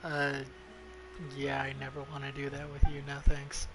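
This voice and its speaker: A man's voice: mid-range voice, completely flat delivery, moderate pace, a bit bright. A man doing casual gameplay commentary as a streamer, blunt, profane and mocking. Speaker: video game streamer. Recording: faint game music behind the voice.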